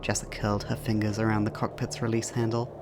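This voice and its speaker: A man's voice: on the low side, flat delivery, brisk, background noise. A man reading scripted narration for an audio drama as its narrator.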